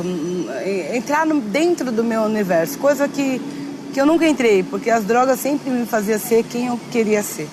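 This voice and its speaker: A woman giving reflective, halting interview testimony, often restarting her words. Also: medium pitch. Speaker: interviewee, author. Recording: noisy background.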